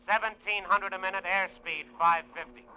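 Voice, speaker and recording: A man's voice: sits high, fairly even intonation, normal speed, sharp tone, slight background noise. A man playing a cocky, easygoing American test pilot in a 1950s radio drama, talking in breezy slang over a cockpit radio. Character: cocky, easygoing male test pilot flying a rocket plane. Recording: dull vintage radio recording.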